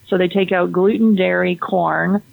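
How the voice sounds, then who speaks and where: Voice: mid-range voice, fairly even intonation, normal speed, vocal fry, poor audio. A fertility health practitioner explaining testing and diet in a conversational interview, often restarting and repeating words.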